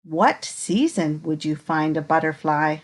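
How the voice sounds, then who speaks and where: A woman reading a children's picture book aloud in an expressive, unhurried way, pausing to put inviting questions to young listeners. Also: sits low.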